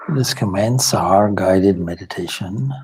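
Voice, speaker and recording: A man leading a guided meditation as a spiritual teacher, speaking in measured, deliberate short phrases with long pauses and formal, contemplative wording. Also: deep voice, heavy background noise. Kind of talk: guided meditation, slow spoken instruction.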